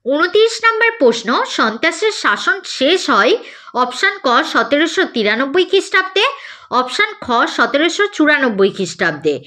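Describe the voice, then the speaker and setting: High, bright-voiced woman teacher reading quiz items. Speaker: teacher presenting exam questions. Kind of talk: scripted quiz read-out lesson.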